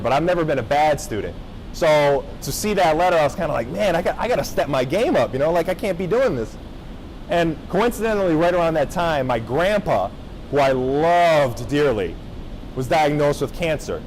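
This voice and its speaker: A young man from Japan tells his story to a room of high school students in a loose, engaging way, often checking that they are with him. His voice sits a little high. Faint background noise runs under the talk. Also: darkish tone, poor audio. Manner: casual, engaging, checks in with the audience. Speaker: motivational speaker. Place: high school gathering space.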